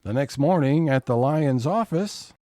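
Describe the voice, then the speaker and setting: A man's voice: medium pitch, lively intonation, unhurried, warm tone. A man narrating scene changes for a scripted audio comedy.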